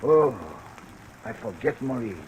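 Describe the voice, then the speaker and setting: Man playing excitable Frenchman with swooping pitch. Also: a little slow, natural voice, poor audio. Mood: dramatic, dismayed. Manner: effusive, repeats words in broken English. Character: excitable, expansive French-Canadian or French working man. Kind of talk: old-time radio drama acting. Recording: old radio recording with faint hiss.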